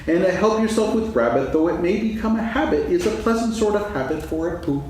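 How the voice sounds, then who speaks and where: A man playing a gentle, absent-minded, food-loving toy bear in a staged children's story reading, musing aloud in a singsong way. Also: sits high, expressive, fairly quick. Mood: dreamy musing. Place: stage, actors seated, live audience recording. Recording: echoey hall from far off, faint noise.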